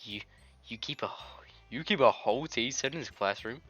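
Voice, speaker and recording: A man's voice: medium pitch, moderate pace, fairly bright tone, husky, dull audio. A British man reading a game aloud in a jokey way, stumbling over words and adding crude asides. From Britain. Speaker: gaming video host. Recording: music behind the voice. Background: game music.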